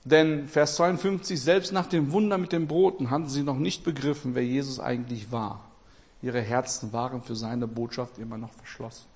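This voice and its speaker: Gravelly Portugal-born man preaching passionately. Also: medium pitch, steady tone, normal speed. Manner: impassioned, repeats phrases, swings between loud and quiet. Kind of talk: sermon.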